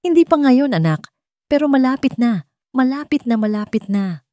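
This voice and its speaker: A woman voicing an anxious, tender woman in an audio story, protective of a child, speaking with soft emotion. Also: high voice, lively intonation, normal speed, no echo, clean studio sound, voice pushed up. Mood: tender, reassuring.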